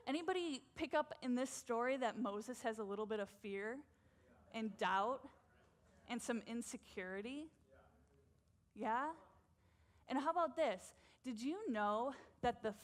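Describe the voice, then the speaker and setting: A woman preaching in a loose, conversational way, her speech full of "like" and "um". Her voice sits fairly high, with a friendly, easygoing flow. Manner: chatty, peppered with "like" and "um". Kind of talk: conversational sermon.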